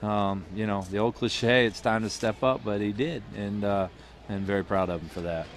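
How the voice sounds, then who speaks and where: A middle-aged man with a low voice talks through a postgame interview as a basketball coach. He speaks into a headset mic in a small studio booth, with a faint hum behind him. His talk rambles, full of restarts and fillers. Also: fairly even intonation, moderate pace.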